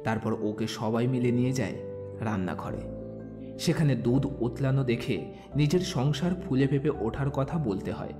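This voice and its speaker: A man's voice: medium pitch, normal speed, music in the background. A man narrating a scripted romantic story as a storyteller.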